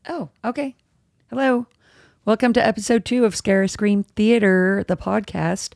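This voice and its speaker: A woman hosting her own casual podcast, a little hoarse, chatty and rambling as she interrupts herself. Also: fairly even intonation, a little slow, a little mellow, no room sound, clean studio sound. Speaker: podcast host. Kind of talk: casual solo podcast chat, live-streamed. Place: home room with dogs. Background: dogs and a squeaky toy at times.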